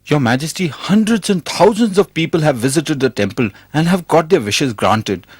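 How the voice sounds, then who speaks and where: An actor playing an eager, reverent courtier in an animated moral story, addressing his emperor in a formal, heraldic way. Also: a little high, normal speed, husky, no echo. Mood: reverent, persuasive.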